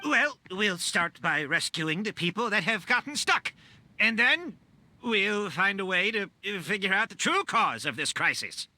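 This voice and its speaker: A man voicing an agitated, earnest citizen in a video game, urgent yet deferential, with a rough voice. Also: high-pitched, sing-song, a little slow, pushed and bright, full character voice.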